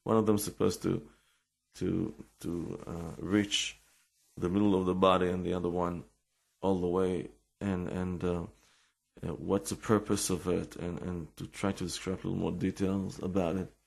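A man with an Israeli accent giving an informal religious talk with personal stories, halting and repeating words as he searches for English. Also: low voice, flat delivery, gravelly.

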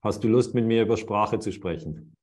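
Zögernder Schweizer Moderator, tiefe Stimme. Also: male voice, lowish voice, moderate pace, a little mellow. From Switzerland. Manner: hesitant, many fillers and restarts. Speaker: host. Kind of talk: interview over video call.

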